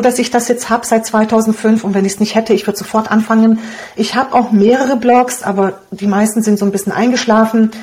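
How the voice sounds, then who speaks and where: A woman in her forties from Romania whose first language is Hungarian, a guest blogger and copywriter talking casually in an interview in a lively, cheeky way. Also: medium pitch. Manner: lively, cheeky, laughs often.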